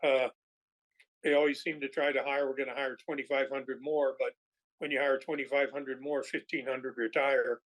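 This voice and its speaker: An older man speaks off the cuff as an expert interviewee. He is a retired air traffic controller with an average-pitched male voice. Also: little pitch movement, normal speed, fairly bright tone, low-quality recording. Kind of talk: unscripted interview answers.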